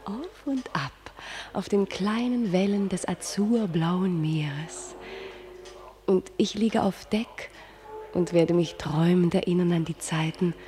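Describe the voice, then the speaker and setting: An actress playing a dreamy, anxious female star in a German radio play, with breathy, drawn-out, theatrical phrasing. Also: on the low side, warm tone. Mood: gushing, dreamy. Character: dreamy, anxious female star, kept darling, former Brooklyn dishwasher.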